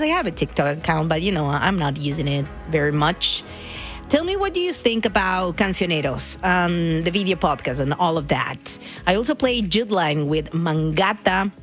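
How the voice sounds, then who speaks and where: A woman from Argentina with an Argentine Spanish accent, chatting casually as a radio DJ and slipping into Spanish words. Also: sits low.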